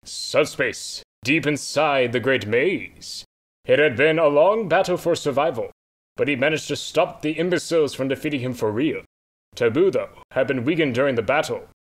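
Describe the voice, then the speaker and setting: A man's voice: mid-range voice, moderate pace. A man reads the narration of a fan-made adventure in a mid-range voice. His delivery is dramatic and storybook-like, and it carries the scene between the characters. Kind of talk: scripted narration.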